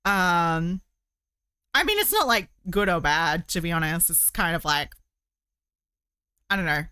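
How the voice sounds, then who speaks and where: A woman's voice: fairly low pitch, lively intonation, unhurried, sharp tone, smooth, no room sound, clean studio sound. A woman hosting a casual livestream, chatting in a rambling way and thinking aloud as she goes. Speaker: livestream host. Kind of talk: casual livestream chat while crocheting.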